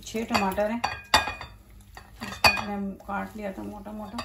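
A woman's voice: mid-range voice, little pitch movement, unhurried, gravelly. A young woman narrating a recipe casually, explaining each step and checking it with questions. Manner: explains and repeats the steps, asking questions. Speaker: co-host helping her mother cook. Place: home kitchen, indoors. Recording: loud kitchen noise, clinking utensils.

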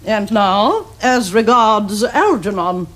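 Haughty middle-aged lady, upper-class English, commanding, disapproving, highly animated pitch, period television comedy. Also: slight background noise. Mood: haughty, firm. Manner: haughty, deliberate, commanding enunciation.

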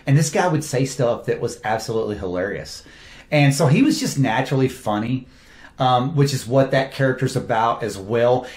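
A man, a film director, talks through his cast with eager, animated enthusiasm. His voice sits at a middle pitch and carries a roomy echo. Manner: enthusiastic, animated storytelling with quoted asides. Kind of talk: casual interview talk.

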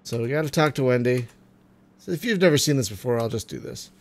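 A man getting over a cold, phlegmy and clearing his throat, chatting casually through a game with many ums and trailing remarks. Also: mid-range voice, animated, quick pace. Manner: chatty, frequent ums and uhs, trailing off quietly. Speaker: gaming video host. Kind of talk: casual let's-play commentary.

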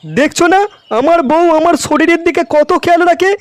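A man as a high-pitched lecherous old father-in-law. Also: a little fast, no room sound, clean studio sound. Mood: bossy, scolding. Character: lecherous, bossy, foul-mouthed older father-in-law. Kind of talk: comic cartoon dubbing. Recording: music behind the voice.

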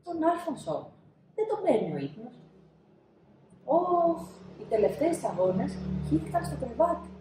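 A woman in her thirties narrating a children's storybook expressively, with dramatic pauses and emphasis. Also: high-pitched, big pitch swings, noisy background. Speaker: storybook reader. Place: small indoor room, seated. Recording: roomy indoor echo.

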